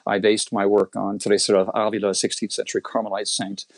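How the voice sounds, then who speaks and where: A man's voice: lowish voice, flat delivery, fast talker, no room sound, clean studio sound. A middle-aged man whose first language is Italian, telling his career story in an interview, rambling and restarting his words often. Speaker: composer and guitarist guest.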